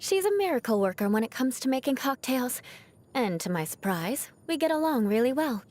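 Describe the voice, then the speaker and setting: A woman voicing a proud young noblewoman knight in a video game, composed and haughty, with formal diction and a grudge-holding edge over a softer heart. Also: high voice, very animated, brisk. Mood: grudging fondness. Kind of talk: scripted video game character voice lines.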